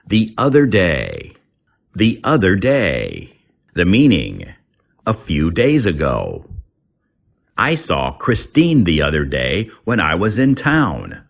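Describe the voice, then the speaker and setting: A man reading an English lesson aloud in a deliberate, instructional way, as a teacher does. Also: low-pitched, huge pitch swings, vocal fry, dull audio.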